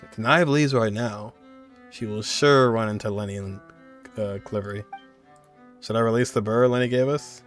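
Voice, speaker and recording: A man's voice: on the low side, fairly even intonation, moderate pace, faint music behind. A man streaming a video game, commenting casually in a laid-back way as if talking to himself. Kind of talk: casual let's play commentary, reading game choices aloud.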